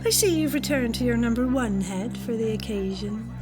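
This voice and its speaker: A woman's voice: on the high side, expressive, music in the background, lightly acted. A woman playing a smug, formal usurper in an audio drama, speaking in a theatrical way. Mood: smug menace. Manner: deliberate, theatrical. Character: smug usurping female executioner-leader, formal and menacing.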